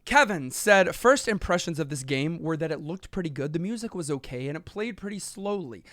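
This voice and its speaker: A man with a notably high voice reads listener emails aloud on a podcast, keeping a steady pace and breaking in with jokes. The sound is close and completely dry, with no room around it. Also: a little fast, no echo. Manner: reads listener letters steadily, with joking asides. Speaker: podcast co-host.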